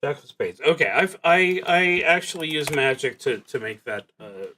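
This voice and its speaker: A man playing a solo tabletop roleplaying game on a stream, reading prompts aloud and thinking out loud in a halting, musing way. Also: a little high, animated, slight background noise.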